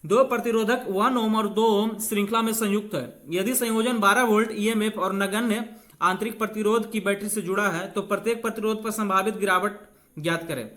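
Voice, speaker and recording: High voiced guy w/ doing an exam prep lesson, chatty with students, repeats stuff, drops in english terms. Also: fairly even intonation, fairly quick, sharp tone. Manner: informal, addresses students as friends and brothers, repeats key phrases; mixes English technical terms into Hindi. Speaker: physics teacher. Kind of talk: exam-prep lesson, reading questions and explaining them step by step.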